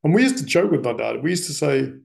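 Middle aged guy, super creaky gravelly voice, chatting about family history, kinda halting and rambly. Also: fairly high pitch, expressive. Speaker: interviewee sharing family history. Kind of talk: reflective personal storytelling in casual conversation.

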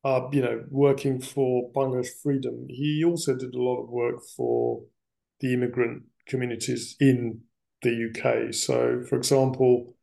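Middle aged guy, super creaky gravelly voice, chatting about family history, kinda halting and rambly. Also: mid-range voice, level tone, mellow, low-quality recording. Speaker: interviewee sharing family history. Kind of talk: reflective personal storytelling in casual conversation.

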